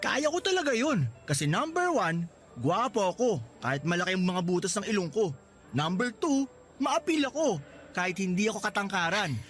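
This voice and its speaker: A man's voice: high-pitched, big pitch swings, normal speed, a bit bright, soft background music. An actor playing a cheerful, joking young male coworker in a radio drama, teasing and boasting playfully. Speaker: Lester.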